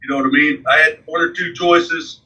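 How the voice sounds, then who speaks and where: Forties guy, bright sharp voice, kinda far from the mic, rambles with lots of "man". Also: a little high, steady tone, fairly quick. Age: 40s. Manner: rambling, frequent fillers like "man" and "you know". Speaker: guest. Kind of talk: casual interview.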